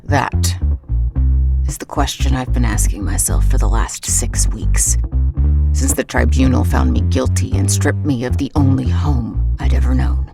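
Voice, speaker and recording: An actress playing a world-weary exiled ex-cop in noir audio drama narration, brooding, wry and terse. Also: female voice, very animated, unhurried, creaky, full character voice. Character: world-weary exiled woman, ex-cop and ex-boxer, hard-boiled. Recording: loud music and engine rumble behind.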